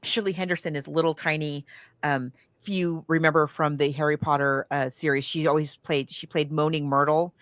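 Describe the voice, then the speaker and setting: A woman's voice: sits low, steady tone, moderate pace, no echo. A woman co-hosting a podcast, chatting casually and with gushing enthusiasm about films and books. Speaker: podcast co-host. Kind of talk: casual film and book discussion.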